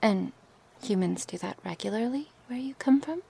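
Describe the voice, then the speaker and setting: A woman playing a gentle, curious, coaxing snake woman in an intimate ASMR roleplay, speaking softly and hesitantly in a breathy, often whispered voice. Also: on the high side, animated, full character voice. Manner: slow, hesitant, soft-spoken with sighs and pauses. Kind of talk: ASMR roleplay, intimate one-sided dialogue.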